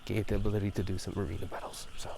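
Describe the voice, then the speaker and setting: A man speaking in soft whispers for a casual ASMR gaming chat outdoors at night, unscripted and rambling, often correcting himself. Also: low-pitched, level tone, very fast, gravelly, slight background noise. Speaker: video host. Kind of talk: whispered ASMR chat about video games. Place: outdoors at night near rice fields.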